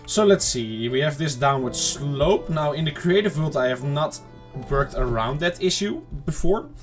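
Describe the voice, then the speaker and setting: A man giving casual, rambling let's-play commentary to viewers, his throat slightly off and clearing it now and then. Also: a little high, lively intonation, moderate pace, soft background music. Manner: rambling, chatty self-talk to viewers. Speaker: gaming channel host.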